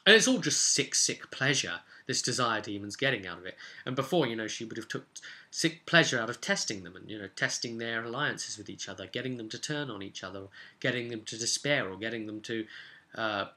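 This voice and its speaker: A man talks off the cuff like a game master recapping a session. His voice is very bright and forceful at an ordinary pitch, and he rambles haltingly before punching out phrases with emphasis. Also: animated. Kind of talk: casual unscripted vlog recap.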